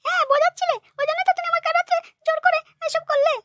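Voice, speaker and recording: A woman's voice: extremely high pitch, fairly even intonation, very fast, fairly bright tone, no echo, clean studio sound. A woman voicing a naive, plaintive young married daughter in a cartoon comedy.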